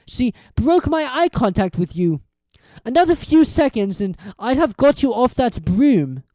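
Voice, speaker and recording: A woman's voice: fairly high pitch, big pitch swings, a little slow, mellow, dry recording, pitched above the performer's own voice, low-quality recording. A woman voicing a cold, sneering male teacher villain in a storybook reading, cool and mocking. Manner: cool, mocking, mock stutter.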